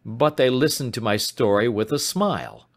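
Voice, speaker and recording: A man voicing an anxious, despairing young gentleman in an audiobook, formal and earnest in manner. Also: medium pitch, normal speed, voice pushed up, natural voice. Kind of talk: audiobook character voice.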